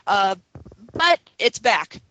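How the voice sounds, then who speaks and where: A woman co-hosting a fan podcast, talking earnestly and haltingly with frequent ums. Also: medium pitch, very animated, fairly bright tone, muffled recording. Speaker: podcast co-host. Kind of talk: casual chat.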